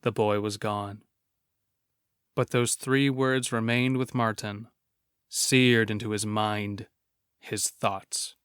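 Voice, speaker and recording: A man giving scripted, suspenseful narration for a horror audio drama, telling the story in a measured way. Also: fairly low pitch, steady tone, a bit bright, no room sound, clean studio sound. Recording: clear music bed behind.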